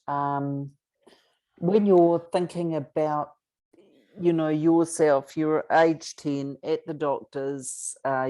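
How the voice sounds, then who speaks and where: Soft-spoken New Zealand woman host. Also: sits low. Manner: soft, supportive, hesitant restarts. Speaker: podcast host. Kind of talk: gentle interview chat.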